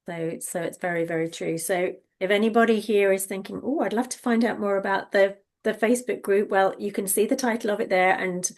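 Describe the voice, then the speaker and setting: A woman's voice: mid-range voice, steady tone, brisk, few pauses. A woman hosting an interview on a video call, enthusiastic and encouraging, often repeating words as she talks. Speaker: interview host. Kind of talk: interview conversation.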